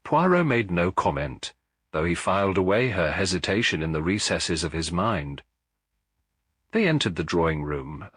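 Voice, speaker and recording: Deep, husky man narrating a mystery. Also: a bit bright, no room sound, studio quality. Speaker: audiobook narrator. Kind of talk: scripted dramatic mystery narration.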